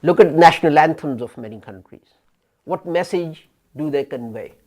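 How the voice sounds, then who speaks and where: A man in his fifties giving a sermon as an imam, speaking deliberately with pauses and rising emphasis, recorded in a small studio. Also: a little high, expressive, darkish tone. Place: small studio before a printed backdrop. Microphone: fixed camera, head and shoulders framing.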